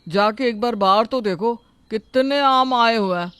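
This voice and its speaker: A man's voice: very high-pitched, unhurried, fairly bright tone, clean tone, dry recording. A man playing a defensive, argumentative young husband in a moral story, indignant and complaining. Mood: irritated.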